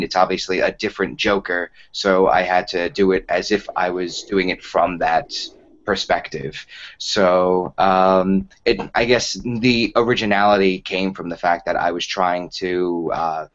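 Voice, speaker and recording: Deep-voiced young man rambling on a muffled call. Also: a bit bright. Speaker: voice actor, guest in cast chat. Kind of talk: casual chat about acting. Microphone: video call with separate microphone. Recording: muffled, faintly noisy internet call.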